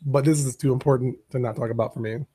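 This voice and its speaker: A man's voice: medium pitch, fast, breathy edge, no echo. A man hosting a podcast who has lost his voice, chatting casually and rambling before the show starts. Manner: relaxed, rambling, coughing. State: hoarse, lost voice. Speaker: podcast host. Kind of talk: casual pre-show chat. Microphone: desk microphone.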